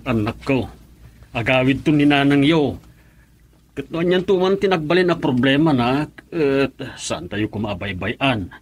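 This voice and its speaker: A man's voice: mid-range voice, animated, measured pace, husky. A man playing a worried, devoted husband and father, emotional and earnest, in an Ilocano radio drama. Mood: weary, sad.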